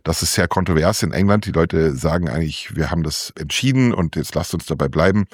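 A man with a low-pitched voice comments on politics as a correspondent in an interview. He talks without a script. The sound is dry, with very little room in it. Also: expressive, brisk, slightly rough, no room sound. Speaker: political correspondent reporting from London.

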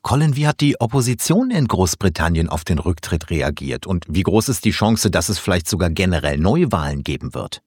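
A male interviewer with a low voice that has a rough, husky grain. He puts prepared questions as a news host. The recording is close and dry. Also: sing-song, a little fast, few pauses, darkish tone, no room sound.

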